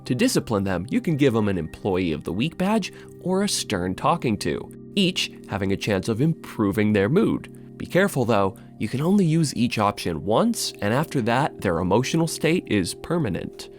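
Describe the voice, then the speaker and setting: Man, video essay narrator, animated intonation, wry, informal, dry humour, scripted with casual asides, soft music underneath. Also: mid-range voice, normal speed, hardly any pauses.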